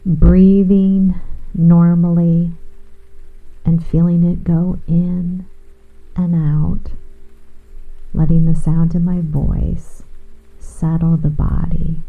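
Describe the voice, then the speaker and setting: A woman guides a sleep hypnosis meditation in a soothing, hushed manner, lingering over short phrases with long pauses. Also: fairly low pitch, gravelly. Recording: muffled, band-limited, with music and rain. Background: soft ambient music and gentle rain.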